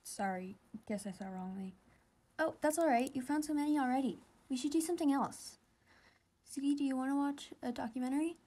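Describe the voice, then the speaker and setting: Sweet, awkward teenage anime boys, voiced by a woman in a fan comic dub. The voice is high and casual, halting at times and teasing at others. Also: mellow, natural voice. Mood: shy, soft.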